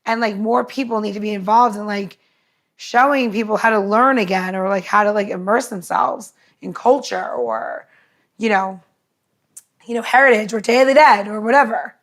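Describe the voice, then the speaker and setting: A woman's voice: medium pitch, normal speed, a bit bright. A woman co-hosting a podcast in casual chat, talking in an informal, meandering and enthusiastic way. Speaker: podcast co-host.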